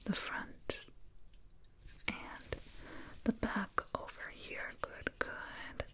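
A woman voicing a soothing, condescending alien dentist in a breathy whispered ASMR roleplay, close to a binaural microphone. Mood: hushed, reassuring. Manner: slow, soothing, murmured repetitions. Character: condescending, soothing alien dentist, fussing over a little human.

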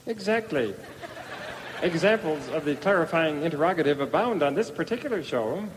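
A man's voice: on the high side, animated, moderate pace, in a large hall, lightly acted, rough recording. Guy doing a stuffy pedantic word snob, precise and lofty, radio comedy, slight hiss in the background. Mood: exasperated. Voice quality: precise, clipped, lofty diction. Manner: pompous, pedantic. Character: fussy, pompous male word pedant, prim and easily offended. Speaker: Mister Literal, pedantic language expert. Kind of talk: 1990s radio comedy acting.

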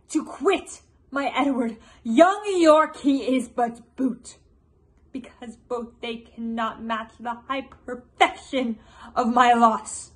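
A woman's voice: high-pitched, a bit bright. A teenage woman acting a vengeful, grieving queen in a declamatory, impassioned Shakespearean monologue. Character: vengeful grieving old queen, cursing her enemies.

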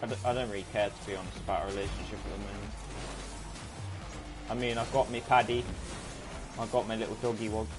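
A man's voice: lowish voice, little pitch movement, fast talker, long pauses, music in the background. A man in his twenties streaming a game, chatting casually with his viewers between plays. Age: 20s. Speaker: gaming streamer. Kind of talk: casual live-stream chat while playing.

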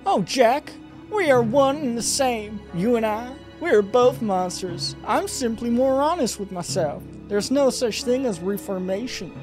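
A seductive succubus woman, played by a man in a visual novel, speaks high over background music. The delivery is sultry and theatrical, smug and taunting, with a predatory edge. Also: lively intonation, measured pace, a little mellow. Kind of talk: reading visual novel dialogue aloud. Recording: music behind the voice.

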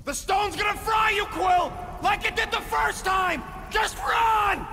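An actor voicing a scrappy, hot-tempered raccoon mercenary in a video game, brash and abrasive with a strained, gravelly voice. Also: male voice, very high voice, expressive, normal speed, a faint crowd, slight background noise, heavily acted. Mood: angry, desperate. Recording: reverberant shouting over music.

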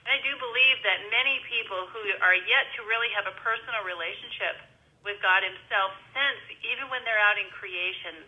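A woman's voice: medium pitch, bright, forceful tone, low-quality recording. A woman hosting a radio interview show, upbeat and friendly, speaking into a headset mic. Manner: upbeat, prays on air.

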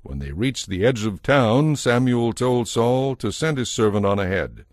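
A man's voice: mid-range voice, sing-song, unhurried, dry recording, clean studio sound. A man narrating a dramatized audio Bible as a scripted story.

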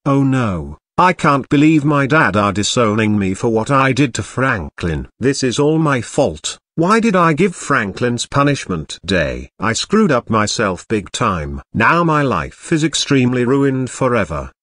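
A jailed young man, read by a male text-to-speech voice pleads and whines. The voice is flat and computer-made, with a mid pitch. It is dry and close. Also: moderate pace, dry recording. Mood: pleading. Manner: whiny, pleading.

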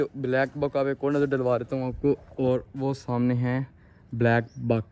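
A young adult man with a Pakistani accent speaks in a mid-range voice. He explains things to viewers in a casual vlog style, often pausing to rephrase. He is recorded outdoors on a handheld phone. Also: medium pitch, darkish tone, slight background noise. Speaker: farm owner and vlogger. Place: outdoors on an open farm. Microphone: handheld phone at arm's length.

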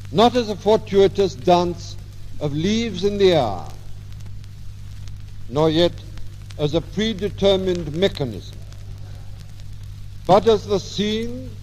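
English man, solemn sermon oratory, slow, animated high pitch, long church reverb, old hissy recording. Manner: measured, solemn oratory in short phrases. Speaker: preacher. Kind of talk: formal commemoration sermon. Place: large stone abbey church.

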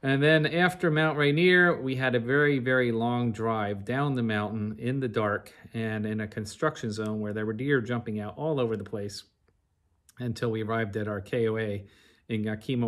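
A man's voice: fairly low pitch, steady tone, normal speed. A middle-aged man narrating a travel slide show in a conversational, orderly and detailed way. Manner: recounts in an orderly, chronological, detailed way.

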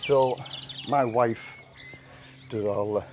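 Older english guy, monotone, chatting while washing his car outside, camera mic a few meters off, birds clearly audible. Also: medium pitch, takes it slowly, heavy background noise. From England. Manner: rambling, chatty storytelling with fillers. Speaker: vlogger. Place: outdoor carport of a house. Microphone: camera mic from a few meters away. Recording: outdoor camera audio with birdsong. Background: birds and rural outdoor ambience.

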